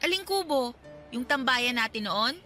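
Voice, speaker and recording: A friendly young woman talks as if to an old pal in a radio drama. Her voice is bright and clear-cut, set over a faint music bed. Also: sits high, animated, moderate pace. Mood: surprised, friendly. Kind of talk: Tagalog radio drama dialogue.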